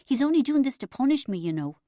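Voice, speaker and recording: A woman's voice: mid-range voice, moderate pace, darkish tone, dry recording, clean studio sound, close to an everyday voice. A woman voicing a lovelorn, bossy young woman, anguished and urgent, in an audiobook.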